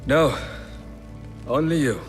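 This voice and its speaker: Weakened, dying loyal warrior. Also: male voice, a little high, soft background music. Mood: weary, resigned. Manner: halting, solemn. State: weak, bleeding to death. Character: mortally wounded male warrior, loyal, principled, resigned. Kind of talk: dramatic video game acting.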